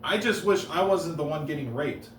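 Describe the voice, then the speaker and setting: A man doing deadpan one-liner stand-up comedy on a stage, halting and restarting between jokes. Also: a little high, slight background noise. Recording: roomy live room, heard from a distance.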